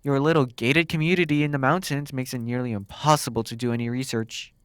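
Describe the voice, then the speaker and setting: A man playing a flirty, sardonic demon captor in an intimate ASMR roleplay, teasing and curious, drawing words out with dramatic pauses. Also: medium pitch, fairly even intonation, smooth, no room sound, studio quality, close to an everyday voice. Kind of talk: ASMR roleplay, intimate one-sided dialogue.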